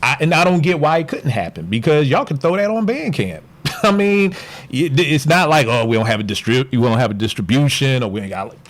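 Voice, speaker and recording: A man's voice: mid-range voice, expressive, fairly quick. A passionate, opinionated man holding forth as a podcast guest, his voice swinging widely up and down as he rants. He talks in casual, slangy speech and never backs down.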